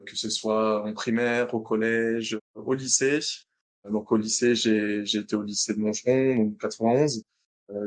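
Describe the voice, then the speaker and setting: A man in his late twenties with a French accent, a podcast guest telling his own story in a relaxed way, often restarting his sentences. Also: mid-range voice. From France, born in Madagascar. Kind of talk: interview.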